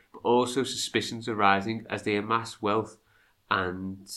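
A man with a fairly low, bright and forward voice, stuffed up with a cold. He co-hosts a podcast, reading history from a script and dropping into casual asides. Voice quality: congested, nasal from a cold. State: has a cold. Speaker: podcast co-host.

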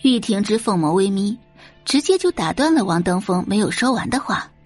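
A woman's voice: mid-range voice, lively intonation, brisk, husky, faint music behind. A woman reading scripted, dramatic audiobook narration as the narrator of a story.

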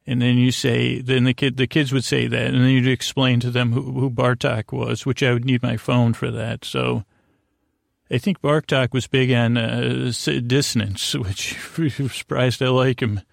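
A man with a creaky, gravelly voice hosts a sleep podcast, recorded very dry. He rambles in a halting way, circling around his thoughts and leaving sentences unfinished. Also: mid-range voice, little pitch movement, no echo. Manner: meandering, halting, often trailing off mid-thought. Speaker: podcast host. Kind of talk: rambling bedtime podcast monologue. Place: podcast studio.

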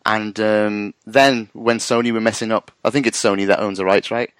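A man, co-hosting a podcast in casual chat, excitable and quick to swear and laugh. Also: lowish voice, clean tone, dry recording. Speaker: podcast co-host.

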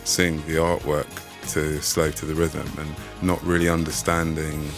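Deep-voiced man telling his story haltingly. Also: flat delivery, over music. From Austria. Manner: reflective, halting, many restarts. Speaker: guest. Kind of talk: casual conversational interview.

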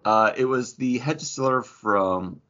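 A man's voice: mid-range voice, a little slow, a bit bright. A man co-hosting a podcast in a jokey, rambling, casual chat with friends. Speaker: podcast co-host. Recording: dull lo-fi voice recording.

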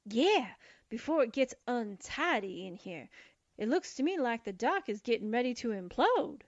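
A woman's voice: on the high side, sing-song, moderate pace, no echo, dull audio. An actress playing a sassy young newspaperwoman in a 1940s-style radio drama, with slangy, streetwise delivery. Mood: brash, gleeful. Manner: wisecracking, streetwise, brash.

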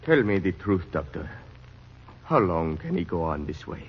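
A man's voice: low-pitched, sing-song, moderate pace, slight background noise. An actor playing a desperate, bitter Greek father with intense delivery in a 1940s radio melodrama. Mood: grave. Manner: intense, pleading. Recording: dull 1940s radio recording.

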